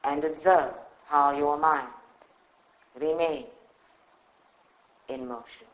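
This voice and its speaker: A man guides a meditation in a fairly high voice. He speaks very slowly and soothingly, leaving long pauses between short phrases. The live recording is roomy and clearly echoing. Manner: calm, soothing, measured instruction with long pauses. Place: indoor room at a group meditation session.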